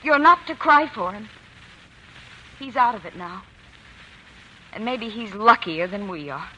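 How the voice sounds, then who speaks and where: A woman's voice: mid-range voice, animated, a little slow, bright, forceful tone, rough recording. An actress playing a weary, stoic working-class mother in a 1940s radio drama. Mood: grave, strained. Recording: vintage 1940s radio, faint noise.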